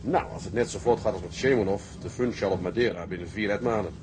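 Vlot pratende, hartelijke zeekapitein, gespeeld door een acteur. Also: male voice, fairly low pitch, quick pace, background noise, lightly acted. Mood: caring. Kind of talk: Dutch period drama acting.

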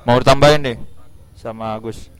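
A man's voice: medium pitch, steady tone, moderate pace. A man hosting a casual radio interview, speaking colloquial Indonesian with a Javanese accent from East Java and coaxing his guests to talk. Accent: Javanese-influenced Indonesian, East Java. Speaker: radio show host.

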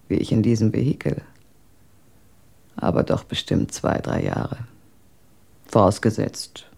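Proud bitter wife, radio drama, very low mellow voice, gravelly creak, cutting and articulate. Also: female voice, exaggerated voice. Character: bitter, cold, wheelchair-bound wife, proud and sardonic. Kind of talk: German radio drama acting.

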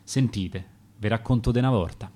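A man's voice: on the low side, normal speed, mellow, natural voice. A man with a Roman accent voicing a poor dead man who lived on a river boat, speaking in a wistful, plain-spoken way.

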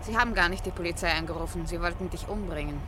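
A woman's voice: lowish voice, fast, close to an everyday voice. Resolute woman played by an actress, noisy backdrop. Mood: earnest. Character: resolute, loyal female accomplice of a hunted man. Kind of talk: German crime radio play acting. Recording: clear background noise.

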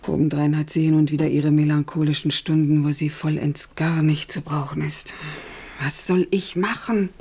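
A woman's voice: sits low, level tone, moderate pace, mellow, breathy edge. An actress in a German radio play playing a middle-aged, needy mother who runs a discreet brothel, deferential and hesitant. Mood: plaintive.

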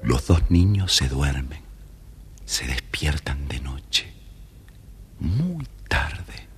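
A man narrating a children's fairy tale in an expressive, scripted style. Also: rumbling low, drawn-out words, over music. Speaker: storybook narrator. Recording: noisy old storybook recording.

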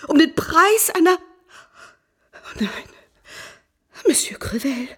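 A woman's voice: very high voice, big pitch swings, slow, a bit bright, exaggerated voice. An actress playing a proud, virtuous married lady in a German radio drama, dignified and theatrical. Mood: indignant.

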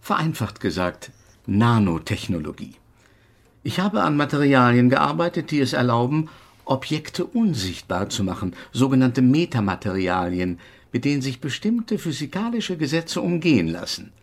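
A haughty, eccentric physics professor in a radio drama. His husky voice rises and falls in animated sweeps. He explains things with pedantic precision and an exasperated edge. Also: mid-range voice, moderate pace. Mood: lecturing, precise. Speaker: actor in a radio play. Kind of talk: German radio drama acting.

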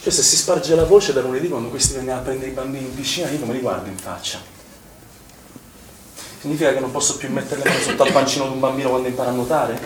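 A man's voice: medium pitch, fast, a crowd in the background, natural voice. An actor playing an agitated male swimming instructor in a naturalistic stage drama, defensive and indignant, often stammering and repeating himself. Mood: indignant, distressed. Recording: distant, echoing stage pickup with noise.

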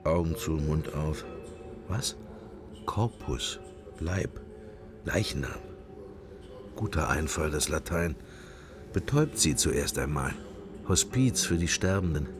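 A man's voice: extremely low pitch, expressive, takes it slowly, pauses often, music in the background, heavily acted. An actor playing a wry, irreverent man musing to himself in clipped fragments, as inner monologue in a radio drama. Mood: curious, irreverent. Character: wry, musing male sceptic, inner thoughts while observing church.

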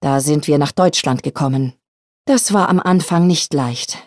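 Woman from peru, spanish native, low voice, telling her story from a script, very dry sound. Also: normal speed, no room sound. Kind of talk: scripted first-person account.

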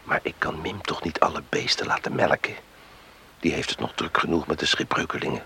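Sleepy young farm guy, super deep creaky voice, reluctant, bit of hiss, old radio play. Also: brisk, pushed and bright, heavily acted. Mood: drowsy, reluctant. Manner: drowsy, hesitant. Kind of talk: radio drama acting. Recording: hissy old radio recording.

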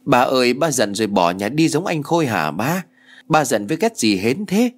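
A man's voice: medium pitch, very animated, normal speed. A man voicing a chatty, clingy little girl in a read-aloud story, pleading in a whiny child's way.

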